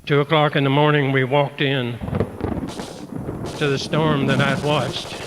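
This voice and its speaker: A man in his eighties with a rural American accent, recalling his past in a halting, grave way as a veteran. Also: medium pitch, little pitch movement, background noise. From rural United States. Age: 80s. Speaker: war veteran. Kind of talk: personal reminiscence. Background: music.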